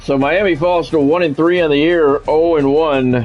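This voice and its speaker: A man's voice: a little high, fairly even intonation, moderate pace, dull audio, faint music behind. A man calling a video game football match as a streamer, mixing announcer-style play-by-play with wry, grumbling, sometimes profane casual asides. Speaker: gaming streamer doing play-by-play commentary. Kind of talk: sports play-by-play commentary mixed with casual asides.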